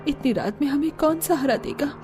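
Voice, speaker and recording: Woman acting a high-voiced, plaintive young daughter-in-law. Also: a little fast, over music, lightly acted. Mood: worried. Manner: earnest, plaintive. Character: distressed young village daughters-in-law, pleading and worried. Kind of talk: cartoon drama acting.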